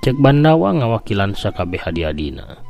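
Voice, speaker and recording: Male storyteller, Sundanese accent, deepish voice, theatrical folk tale narration over prominent music. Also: big pitch swings, normal speed, gravelly, a little mellow. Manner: theatrical, with voiced sound effects. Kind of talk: dramatic scripted story narration.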